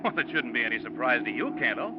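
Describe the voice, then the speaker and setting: An actor playing an easygoing bush pilot with a casual, offhand way of talking in a 1940s radio drama. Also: male voice, mid-range voice, very animated, fast, close to an everyday voice. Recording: dull old radio broadcast with loud engine noise.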